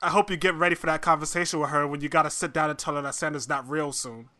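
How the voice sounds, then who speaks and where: A man's voice: a little high, fairly even intonation, fast talker, sharp tone, dry recording. A man bantering casually as a podcast co-host.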